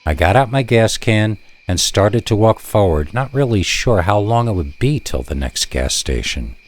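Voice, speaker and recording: A man reading a first-person scary story in a measured, deliberate way with dramatic pauses. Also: lowish voice, very animated. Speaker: narrator reading scary stories.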